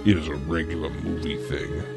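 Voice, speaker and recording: A man with a very low voice narrates a comic adventure story. His delivery is deadpan and full of gravelly fry. Music plays clearly behind him. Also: moderate pace. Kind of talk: comedic scripted story narration.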